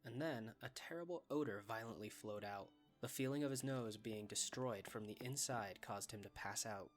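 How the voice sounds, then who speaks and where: A man's voice: on the low side, normal speed, faint music behind. A man reading scripted story narration as the narrator of a fan dub.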